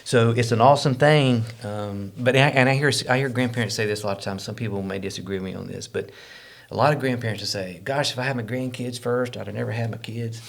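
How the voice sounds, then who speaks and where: A man's voice: medium pitch, fairly even intonation, fast talker, gravelly. A middle-aged man with a Southern US accent telling personal stories earnestly as a podcast guest, repeating words for emphasis. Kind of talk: personal storytelling.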